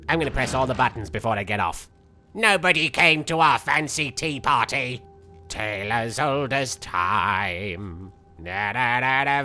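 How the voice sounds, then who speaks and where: A flamboyant young cartoon villain doing comic game commentary. The voice is sharp and bright, with theatrical, cocky delivery and petulant quips. Faint game music plays underneath. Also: male voice, mid-range voice. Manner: theatrical, vain, quippy. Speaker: in-character let's player. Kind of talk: comedic game commentary in character.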